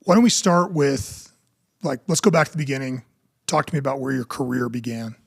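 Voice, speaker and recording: A podcast co-host, a man, puts friendly, direct questions to his guest. He talks quickly with a gravelly edge to his voice. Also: mid-range voice, lively intonation, dry recording. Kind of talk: casual interview questions.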